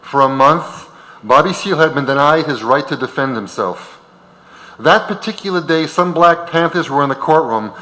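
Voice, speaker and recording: Male stage narrator, slightly high forceful voice, reverberant hall. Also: animated, normal speed. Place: large auditorium. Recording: reverberant auditorium.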